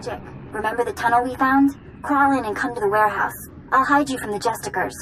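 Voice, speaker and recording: A woman's voice: fairly high pitch, fast talker, background noise, lightly acted. A woman acting as a tough, wary underworld local in a sci-fi video game, blunt and dramatic. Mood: urgent.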